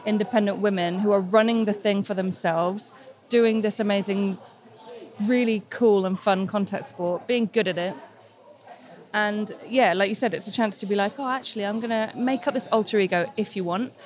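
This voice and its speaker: A woman who plays roller derby, answering interview questions casually in an enthusiastic and reflective way. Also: mid-range voice.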